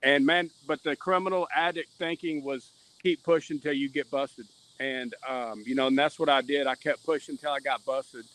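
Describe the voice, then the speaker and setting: A man's voice: mid-range voice, fairly even intonation, moderate pace, hardly any pauses, a bit bright, poor audio. A middle-aged man telling his own story as a podcast guest, earnest and candid, circling back and repeating his points. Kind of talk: candid personal testimony in conversation.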